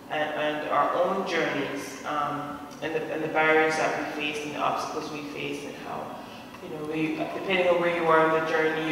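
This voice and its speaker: A man's voice: fairly high pitch, noisy background. Thoughtful man from Jamaica in a hall. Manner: thoughtful, measured, searching for words. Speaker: panelist. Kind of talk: panel discussion remarks. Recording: far off mic in an echoey hall.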